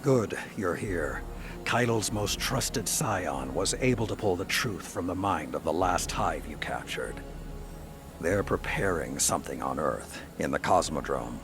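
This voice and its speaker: An actor playing a stern old war commander in a video game, with a gravelly voice and a grave, authoritative delivery. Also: male voice, deep voice, expressive, unhurried. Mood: urgent, commanding. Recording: loud music bed behind voice.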